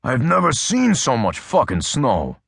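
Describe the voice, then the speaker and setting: A man voicing a gruff, blunt, profane male cop in an audiobook. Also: mid-range voice, huge pitch swings, measured pace, fairly bright tone, no echo, studio quality, full character voice. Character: gruff hard-boiled male cop Adams.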